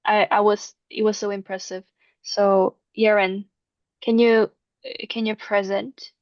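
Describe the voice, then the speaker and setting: A woman's voice: mid-range voice, level tone, measured pace, dry recording. A woman hosting an online meeting, moderating with brief prompts. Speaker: host. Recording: dull, dry call audio.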